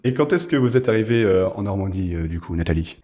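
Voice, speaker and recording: A man's voice: deep voice, animated, fast, warm tone. Male podcast host, wordy and courteous. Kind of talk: formal podcast interview hosting.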